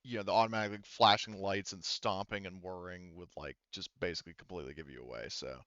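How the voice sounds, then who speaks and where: Low-voiced, smooth man running a game. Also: fairly even intonation, fast talker, fairly bright tone, dry recording, highs cut off. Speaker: game master. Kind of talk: narrating a tabletop roleplaying game. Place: indoors, online voice session. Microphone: headset.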